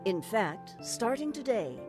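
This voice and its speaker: A woman playing a stern professor at a magic academy in a visual novel game, formal, measured and authoritative, with music behind her. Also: on the high side, sing-song.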